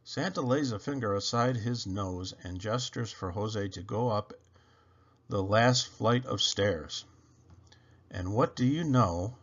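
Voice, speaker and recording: Guy reading a kids story out loud, super expressive, takes his time, kinda dull recording. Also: fairly low pitch, slow. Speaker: children's storybook reader. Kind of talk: animated read-aloud narration.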